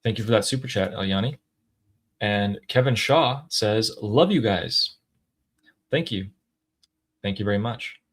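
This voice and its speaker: Low-voiced chatty male stream host. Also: measured pace, pauses often, a bit bright. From United States. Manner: chatty, thinks aloud with fillers and throat clearing. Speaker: co-host reading viewer super chats. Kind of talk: casual live-stream chat.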